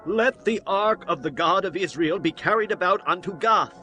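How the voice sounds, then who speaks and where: A man's voice: high voice, moderate pace, sharp tone, faint music behind. A man playing a commanding ancient Philistine lord in declamatory dramatized scripture. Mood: decisive.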